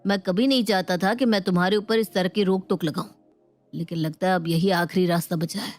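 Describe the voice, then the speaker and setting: A woman's voice: lowish voice, fairly even intonation, a little fast, darkish tone, lightly acted. A woman voicing a strict, fed-up husband in a family storybook, lecturing and giving stern warnings. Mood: stern, exasperated. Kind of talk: dramatised Hindi family storybook. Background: music.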